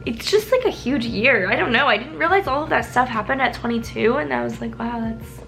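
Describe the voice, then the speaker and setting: A woman's voice: fairly high pitch, fairly quick, creaky, a bit bright. A woman in her twenties chatting casually to a small vlog camera indoors, rambling with lots of likes and restarts. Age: 20s. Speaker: beauty vlogger. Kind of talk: casual talking-head vlog. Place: indoors, with street noise outside.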